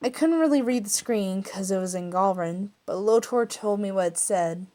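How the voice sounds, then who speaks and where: A woman's voice: medium pitch, normal speed, dark tone, natural voice. A woman reads as a sassy young hero held captive in a fan fiction tale. He speaks with emphasis and a defiant edge. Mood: exasperated.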